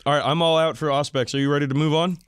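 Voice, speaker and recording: A man's voice: fairly high pitch, steady tone, rapid-fire, clear, resonant tone, no room sound. Male podcast co-host, enthusiastic and sweary casual hobby talk, dry close recording. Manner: enthusiastic, profane. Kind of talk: casual hobby chat about tabletop wargaming.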